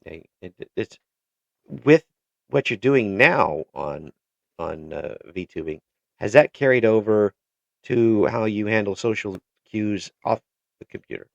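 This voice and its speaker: A man hosting an interview, asking questions in a halting way with many restarts and repeated words. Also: lowish voice, creaky, no echo. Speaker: interview show host. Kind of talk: casual interview chat. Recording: dull lo-fi recording.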